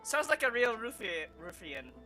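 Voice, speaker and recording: A male gaming streamer with a high-pitched voice talks casually through his play. He thinks aloud in a halting way, with clear game music behind him. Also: sing-song, bright, forceful tone. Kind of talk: casual, unscripted gameplay commentary.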